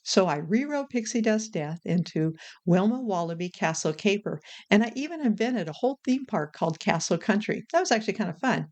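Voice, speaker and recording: A woman's voice: medium pitch, animated, fairly quick, few pauses, a little mellow. Chatty woman hosting a how-to vlog. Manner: informal, frank, chatty. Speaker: video creator and host.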